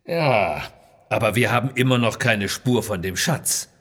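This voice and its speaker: A man's voice: lowish voice, animated, measured pace, fairly bright tone, husky, long reverb tail, full character voice. A man voicing an unflappable male adventurer in an audio story, wry and thoughtful. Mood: musing.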